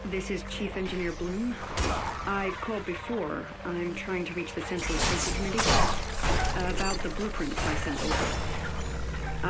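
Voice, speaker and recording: An actress playing an anxious chief engineer in a video game, leaving a brisk, emphatic professional message. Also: female voice, on the low side, close to an everyday voice.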